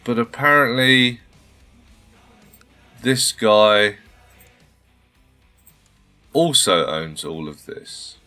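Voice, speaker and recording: A man's voice: medium pitch, sing-song, very slow, long pauses, bright, forceful tone, clean tone, faint music behind. A man hosting a strategy game playthrough, thinking aloud in a polite, chatty way while a little under the weather. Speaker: gaming channel host. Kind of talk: casual let's-play commentary.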